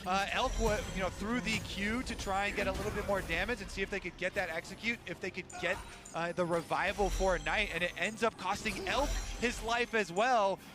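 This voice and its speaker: A man's voice: high voice, fairly even intonation, brisk, music in the background. A man giving live colour commentary on an esports match, explaining team decisions and plays.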